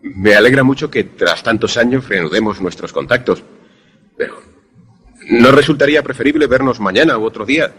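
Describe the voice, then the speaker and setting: An actor in a suspense radio drama playing a courteous former pupil, speaking in a formal, literary manner. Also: male voice, medium pitch, a little fast, a bit bright, husky. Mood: courteous, concerned. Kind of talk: radio drama narration and dialogue.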